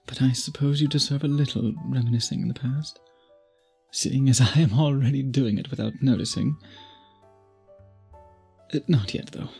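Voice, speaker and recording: A man playing a centuries-old vampire suitor in an intimate ASMR audio drama, speaking in formal, archaic, deliberate phrases with a breathy, husky voice. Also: mid-range voice, darkish tone, soft background music. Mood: reticent, polite. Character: centuries-old male vampire suitor, possessive, courtly, brooding.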